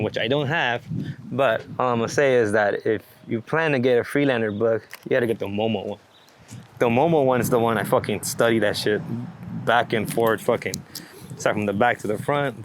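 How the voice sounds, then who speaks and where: Guy chatting off the cuff outside, mid pitch, sweary and slangy, noisy ambience behind him. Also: a bit bright. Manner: sweary, rambling, slangy, enthusiastic. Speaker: street and landscape photographer being interviewed. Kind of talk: casual unscripted interview chat. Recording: clear background noise, outdoor ambience.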